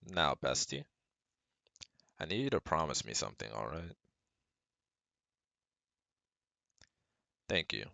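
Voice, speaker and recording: A man's voice: a bass voice, sing-song, moderate pace, gravelly, pushed and bright, no room sound, exaggerated voice. A man playing a caring, protective best friend who gives firm, earnest advice straight to the listener in an ASMR roleplay. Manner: soothing, earnest, instructive, speaking directly to listener.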